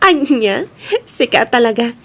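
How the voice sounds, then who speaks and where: A woman with a Filipino accent playing a cheerful, hardworking young student in an expressive, girlish way in an Ilocano radio drama. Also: on the high side, slightly rough, exaggerated voice. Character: cheerful, hardworking young female working student, weary but hopeful.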